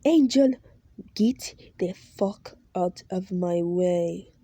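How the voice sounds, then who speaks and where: A woman voicing a cruel, hot-tempered male boss who shouts and swears. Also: medium pitch, expressive, takes it slowly, husky, no room sound. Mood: furious.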